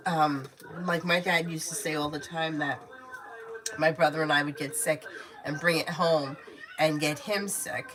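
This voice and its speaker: A woman's voice: deep voice, fairly even intonation, unhurried, a crowd in the background, low-quality recording. A woman giving tarot readings on a casual livestream, chatting candidly, swearing freely and calling viewers by name. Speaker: tarot reader answering viewer questions.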